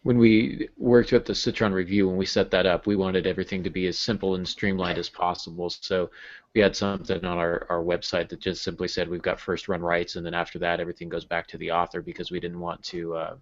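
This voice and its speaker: A man's voice: low-pitched, flat delivery, fairly quick. A man in his thirties chatting as a podcast co-host over a video call from a room at home. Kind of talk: casual podcast discussion. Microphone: earbuds on a video call. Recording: dull, compressed video-call audio.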